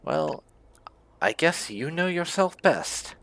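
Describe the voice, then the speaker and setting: A man's voice: on the high side, big pitch swings, slow, gravelly, a bit bright. A man giving casual commentary as host of a game playthrough. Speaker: gaming video host.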